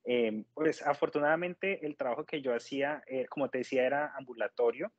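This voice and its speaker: A man in his thirties with a Colombian accent, politely recounting his experience as an interview guest in a measured, explanatory way. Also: mid-range voice, no echo, muffled recording. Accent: Colombian Spanish. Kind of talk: conversational personal account.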